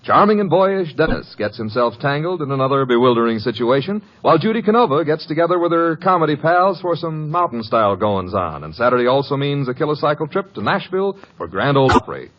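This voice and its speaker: A man's voice: fairly high pitch, sing-song, fairly quick, hardly any pauses. A man reading scripted credits and promotions as a polished announcer in old-time American network radio style. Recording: muffled old radio broadcast with music.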